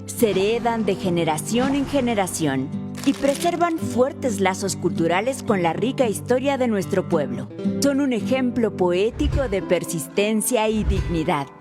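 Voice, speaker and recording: A woman's voice: medium pitch, lively intonation, unhurried. Woman narrating a documentary over music.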